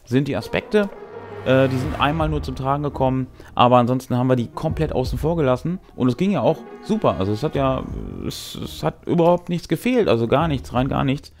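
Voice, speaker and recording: A man's voice: medium pitch, a little fast, a little mellow. A man in his forties talking casually to camera as host of a tabletop gaming show, in a laid-back, wry, conversational way. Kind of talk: casual talk to camera about a tabletop role-playing game. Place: small brick-walled game room indoors. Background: background music.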